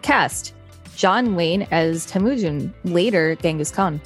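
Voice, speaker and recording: A woman co-hosting a podcast, reading an article aloud in a plain, measured way. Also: lowish voice, lively intonation, soft background music. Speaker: podcast co-host.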